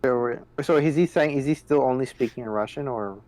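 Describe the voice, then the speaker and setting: A man playing a tabletop roleplaying game, describing his character's actions in a casual, halting way. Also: mid-range voice, darkish tone.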